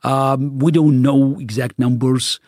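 A man's voice: mid-range voice, level tone, slow, a little mellow, dry recording, studio quality. A middle-aged man, answering interview questions earnestly, often restarting and repeating words as he searches for phrasing. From Soviet Union (Baku). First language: Russian. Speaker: interview guest.

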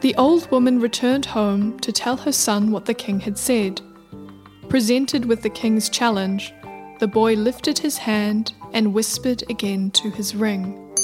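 A woman narrating a scripted folktale as a measured storyteller. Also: a little high, a little mellow. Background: music.

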